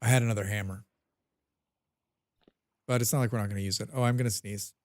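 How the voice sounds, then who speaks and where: A man's voice: fairly low pitch, fairly even intonation, fast talker. A man with a head cold chatting casually while live-streaming a game, humming to himself and answering his chat.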